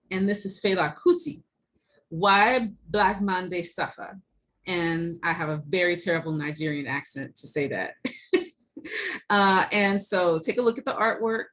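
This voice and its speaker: A woman's voice: on the low side, fairly bright tone. A middle-aged woman, a college professor, giving a recorded lecture over slides in a conversational way, with many ums and restarts.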